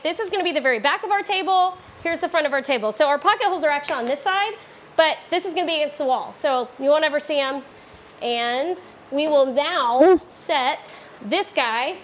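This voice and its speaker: High-voiced woman chatting through a build. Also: a bit bright, a little hiss or hum. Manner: chatty, self-correcting, laughs often. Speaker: DIY woodworking host. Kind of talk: casual build tutorial. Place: home garage workshop.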